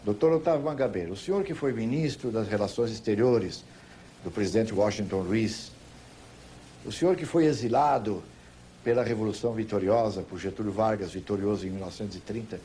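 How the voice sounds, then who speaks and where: Older Brazilian male, veteran TV interviewer. Mellow, deliberate storyteller, emphatic phrasing, slight noise on an old tape. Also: on the low side. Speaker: veteran television political interviewer. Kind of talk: reminiscing, recounting a story.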